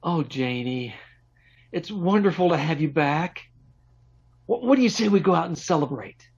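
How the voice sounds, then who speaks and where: A man's voice: on the high side, expressive, moderate pace, vocal fry, a little mellow, breathy edge, full character voice. A man playing an eager, lovestruck young suitor in 1940s radio drama style, earnest, pleading and stammering. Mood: tender, happy. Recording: dull lo-fi remote call audio.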